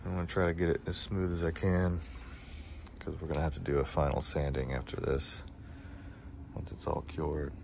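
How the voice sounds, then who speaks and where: A man casually talking through his boatbuilding project in a home garage workshop, relaxed and easygoing, recorded on a handheld phone. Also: rumbling low, moderate pace, gravelly, background noise. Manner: relaxed, easygoing, thinking aloud.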